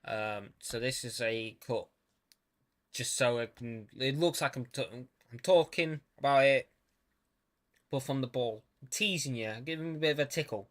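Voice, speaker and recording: A man's voice: medium pitch, moderate pace, fairly bright tone. A young man, a student filmmaker, commenting casually on his own film, stuttering and restarting often and swearing now and then.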